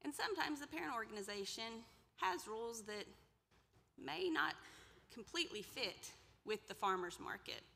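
A woman's voice: a little high, lively intonation, normal speed, gravelly, bright, forceful tone, a little hiss or hum. A woman in her thirties giving a conversational workshop lecture from a podium, asking the audience questions now and then. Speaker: extension presenter. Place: large lecture room indoors. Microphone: podium mic, far camera. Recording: reverberant hall.

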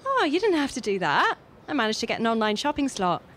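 A woman's voice: a little high, wildly swooping pitch, fast talker, fairly bright tone, a little hiss or hum. A woman playing a polite, put-upon young woman in an audio drama, a little hesitant. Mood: surprised, grateful. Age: young adult. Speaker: actor in a fiction podcast. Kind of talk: naturalistic audio drama dialogue.

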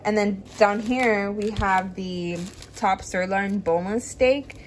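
A woman's voice: medium pitch, slow, poor audio. A young adult woman chatting casually and enthusiastically to viewers as a vlogger in a home kitchen. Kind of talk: casual grocery haul vlog chat. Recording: clear background noise and room ambience.

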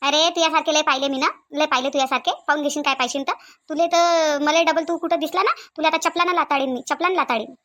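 A woman's voice: sits high, fairly even intonation, muffled recording. A woman voicing a feisty, fearless young cartoon woman who scolds sharply, with a Varhadi Marathi accent from Vidarbha. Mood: defiant, threatening. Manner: sharp, scolding, rapid-fire. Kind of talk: cartoon comedy dialogue.

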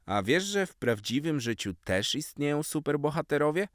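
A man's voice: on the high side, very animated, normal speed, dry recording, clean studio sound, voice pushed up. A man voicing a patient elderly grandmother in a children's story, explaining things affectionately to her grandson.

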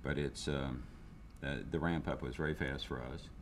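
A man's voice: extremely low pitch, steady tone, moderate pace, a little hiss or hum. An older man, a business owner, speaking candidly in a seated interview, with frequent restarts and fillers. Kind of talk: interview testimonial. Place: indoor room, seated interview. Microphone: lapel.

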